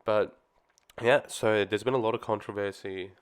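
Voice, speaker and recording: Low, flat-voiced man getting over flu. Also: normal speed, smooth. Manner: easygoing, rambling, frequent ums and restarts. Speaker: tennis commentary vlogger. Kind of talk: casual solo opinion talk.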